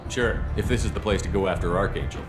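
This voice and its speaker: A man's voice: sits low, expressive, fast. A man playing a confident, no-nonsense military commander in a video game, direct and businesslike. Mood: firm. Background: music.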